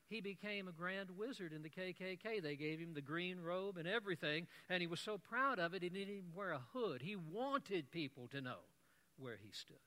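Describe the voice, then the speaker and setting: A man, preaching a sermon as an animated storyteller with wry asides. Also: sits high.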